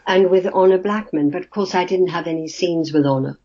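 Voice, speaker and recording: A woman's voice: fairly low pitch, fairly quick, dark tone. An older woman from Australia, now, a guest actress telling stories in a chatty way and laughing easily. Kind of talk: casual interview chat. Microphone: video call. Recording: muffled video call audio.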